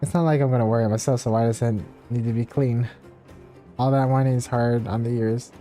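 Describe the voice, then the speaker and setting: Boastful male fight promoter in a video game, read by a man, mid pitch, mellow, self-important. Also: steady tone, brisk, close to an everyday voice. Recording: game music behind.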